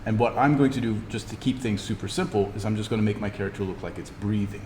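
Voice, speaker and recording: A man giving an informal in-class software tutorial, explaining step by step as he demonstrates. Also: fairly low pitch, fast. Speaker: instructor. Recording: reverberant room with background noise.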